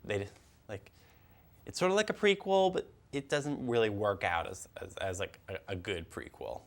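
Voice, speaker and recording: A man's voice: lowish voice, expressive, normal speed. A young man co-hosting a casual film and TV chat, stammering and repeating words as he feels his way through sentences. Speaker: co-host of a film and TV talk show.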